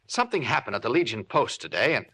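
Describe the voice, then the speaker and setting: An actor playing a thoughtful, earnest male reporter in a 1940s radio drama, hesitant and deliberate as he reasons. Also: mid-range voice, very animated, bright, forceful tone, slight background noise. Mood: thoughtful, urgent.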